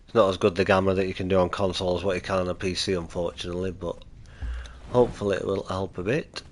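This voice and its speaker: A man's voice: fairly even intonation, fast. A man hosting a casual gaming livestream, nasal and stuffy from a cold, chatting easily with viewers. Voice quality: low-key, chatty and easygoing. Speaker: livestream host.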